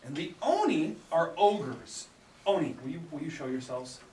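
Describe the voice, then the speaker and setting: An animated male storyteller leads a live children's show, his delivery swinging widely in pitch. He sounds a little away from the mic in an echoey room, with faint noise around him. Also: moderate pace, recorded from a distance. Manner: animated, prompts children to repeat lines. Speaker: puppet theater storyteller and director.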